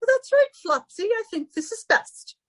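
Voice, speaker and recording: A woman's voice: very high-pitched, very animated, unhurried, dry recording, studio quality, exaggerated voice. A woman playing a vain, flirtatious wealthy society hostess, gushing and affected, in 1940s radio style. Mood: grandly excited. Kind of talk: recreated 1940s radio comedy-drama acting.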